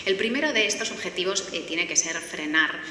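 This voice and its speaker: In a reverberant hall with faint noise, a woman with a Spanish accent from Spain gives a public presentation. Her voice is bright and forceful, slightly low for a woman. She explains things in an orderly way, pausing to find words. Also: expressive. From Galicia, Spain. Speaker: lawyer giving a forum talk. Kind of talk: semi-formal public presentation. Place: indoor forum hall.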